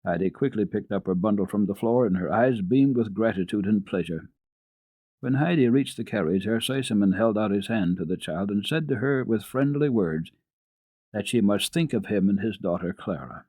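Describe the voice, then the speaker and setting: Male children's storyteller: lowish husky voice, very animated, careful dramatic narration. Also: normal speed, no room sound. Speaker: storyteller and radio host. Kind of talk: dramatic reading of children's classic literature.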